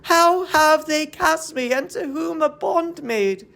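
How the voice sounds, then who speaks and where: A woman's voice: sits high, slow pace, gravelly, fairly bright tone, voice pushed up, exaggerated voice. A woman playing frightened captive women in a verse tragedy, with a fervent, trembling, theatrical delivery full of desperate pleading. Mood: fearful dread. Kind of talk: classical verse tragedy, declaimed.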